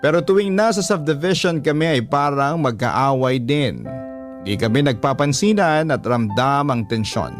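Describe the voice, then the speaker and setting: A man with a medium-pitched voice narrates a scripted personal story for a radio drama. Soft guitar music plays behind his reading. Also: expressive, moderate pace.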